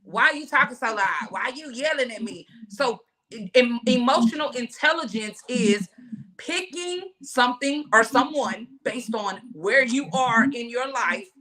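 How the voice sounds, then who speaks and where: A woman hosting a casual panel discussion, talking loudly and emphatically with rhetorical questions. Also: fairly high pitch, expressive, unhurried, poor audio. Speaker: host.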